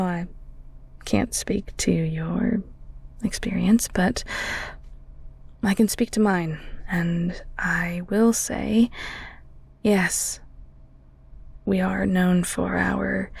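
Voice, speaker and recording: A woman acting in an intimate audio roleplay as a bashful, kindhearted, bungling young ghoul cook, flustered and stammering, with a breathy voice that often drops toward a whisper. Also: medium pitch, a little mellow, dry recording, exaggerated voice. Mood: gentle, reassuring. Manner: flustered, stammering, giggly, rambling.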